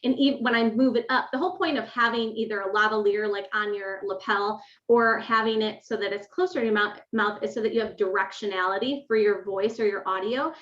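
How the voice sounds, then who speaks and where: A woman with a loud voice presenting a webinar on course design, explaining step by step with fillers, on a desk microphone in a home office. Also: mid-range voice, steady tone, brisk, few pauses, reverberant. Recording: dull lo-fi webinar audio.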